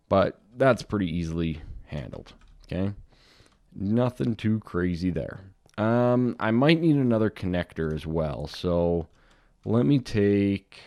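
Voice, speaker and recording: Young guy with a deep voice, kinda dry throat, laid-back chatty game commentary. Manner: relaxed, chatty, talks to viewers while playing. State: slightly dry, scratchy throat. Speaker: gaming video host. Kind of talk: casual Minecraft modpack commentary.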